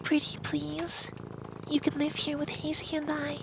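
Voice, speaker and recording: A performer voicing a gentle, sweet, ancient femboy vampire in a hushed, partly whispered ASMR fantasy roleplay. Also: high voice, completely flat delivery, unhurried, noisy background. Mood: sweet, delighted. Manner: hushed, breathy near-whisper, lingering delivery.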